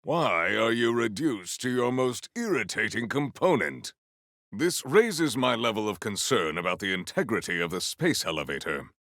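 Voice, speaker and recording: A man playing a proud, stern warrior in a video game, speaking formally and theatrically in grandiose declarations with a gravelly edge. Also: on the low side, very animated, normal speed, a bit bright, no room sound, clean studio sound. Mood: irritated, urgent. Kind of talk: video game character voice lines.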